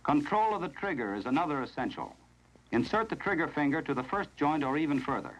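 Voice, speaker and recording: American male narrator, somewhat high pitch, 1970s instructional film style, formal, measured, scripted, vintage soundtrack. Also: sing-song, a bit bright, poor audio.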